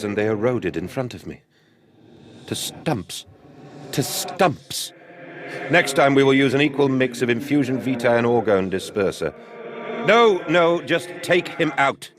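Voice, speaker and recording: An actor with a British accent playing a haughty, tormented Victorian gentleman in a horror game, theatrical, formal and intense. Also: mid-range voice, animated, unhurried, a crowd in the background, close to an everyday voice. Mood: haughty, irritated. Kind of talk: dramatic horror game acting. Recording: noisy game recording with ambient sound.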